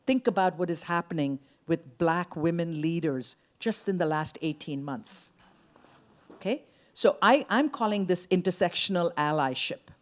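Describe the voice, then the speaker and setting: Earnest woman panelist, low-pitched. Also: expressive, normal speed. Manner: earnest, emphatic, citing figures. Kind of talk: panel discussion.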